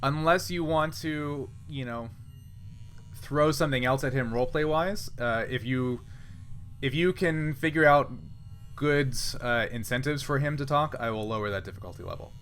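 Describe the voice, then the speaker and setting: A man running a casual tabletop sci-fi roleplay as game master, halting and pausing to find his words. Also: mid-range voice, a bit bright, soft background music.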